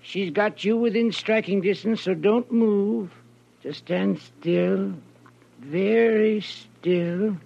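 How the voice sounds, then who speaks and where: Vintage radio character, fussy snake expert, high creaky voice, slow, coaxing, eccentric. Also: male voice, darkish tone, exaggerated voice. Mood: calm warning. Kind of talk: 1940s radio character acting. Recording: dull old radio recording.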